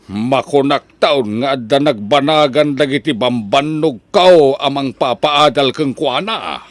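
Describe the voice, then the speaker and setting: A man's voice: mid-range voice, measured pace, dry recording, natural voice. A man playing a strict, hardworking older father, a farmer, in an Ilocano drama with emphatic delivery. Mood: proud, hopeful. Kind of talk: Ilocano radio-style drama acting.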